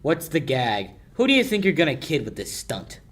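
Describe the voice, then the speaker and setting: A man's voice: a little high, wildly swooping pitch, moderate pace, a bit bright. A young man playing a tough, wry lawyer in a hard-boiled 1940s radio play. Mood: suspicious. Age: young adult. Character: tough wisecracking male lawyer, suspicious and dry.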